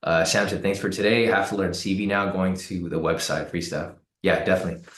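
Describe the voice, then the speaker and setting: A man hosting a live trading stream, hoarse and raspy from the cold, talking casually with many fillers and repeated words. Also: low voice, fairly even intonation, brisk, fairly bright tone, roomy sound. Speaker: trading livestream host. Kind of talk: casual live-stream commentary answering chat.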